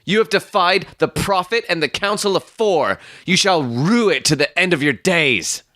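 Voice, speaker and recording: A man acts out a self-righteous young suitor from a storybook. The voice sits high and is full of pompous, pious entitlement. The sound is dry, with no room on it. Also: very animated, normal speed, pushed and bright, dry recording, heavily acted. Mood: outraged. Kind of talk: literary audio story reading.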